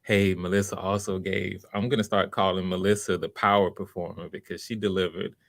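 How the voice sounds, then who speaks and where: Low-voiced man hosting a livestream, dry sound. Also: little pitch movement, gravelly, no room sound. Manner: welcoming, encouraging, unscripted and halting. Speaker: host of live online voice auditions, writer. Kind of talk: casual livestream chat, greeting viewers by name.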